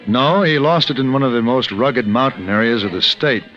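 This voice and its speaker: An actor as refined, sharp-voiced Western gunfighter. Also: male voice, mid-range voice, dull audio, close to an everyday voice. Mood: wry, composed. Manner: dry, measured, articulate. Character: refined, urbane adult male gunfighter of the Old West. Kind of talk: 1950s radio western acting. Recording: band-limited vintage radio recording with music.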